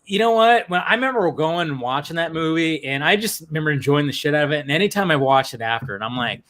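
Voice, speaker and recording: A man with a fairly high voice hosts a casual group livestream. He talks in an animated, loose, profane way and often doubles back to restart a sentence. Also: fast. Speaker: livestream host. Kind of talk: casual chat on a group podcast.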